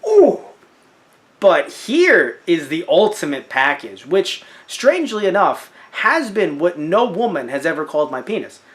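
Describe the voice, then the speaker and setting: Young male podcast co-host, animated and jokey, very expressive pitch. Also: a little slow. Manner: animated, joking, with mocking put-on quotes. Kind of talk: casual chat about a crowdfunding campaign.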